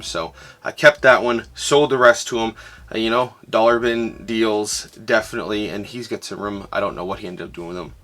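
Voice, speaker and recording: A man's voice: fairly low pitch, a bit bright. A man hosting his own collecting channel, talking casually through a recap while his voice is tired and fading. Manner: enthusiastic, chatty with frequent "uh" fillers. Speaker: host of a sports card collecting channel.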